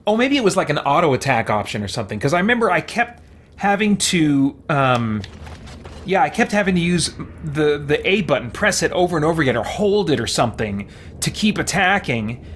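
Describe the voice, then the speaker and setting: A man's voice: a little high, big pitch swings, brisk, a bit bright, slight background noise. A man livestreaming a video game, chatting casually and thinking aloud in a rambling way. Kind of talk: casual chat while playing a video game.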